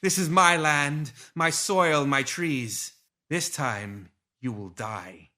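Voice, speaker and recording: A man voicing a vengeful female demon leader, seductive and menacing, with theatrical drawn-out threats in a storybook reading. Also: fairly high pitch, voice pushed up. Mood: seductive menace. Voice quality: soft, seductive.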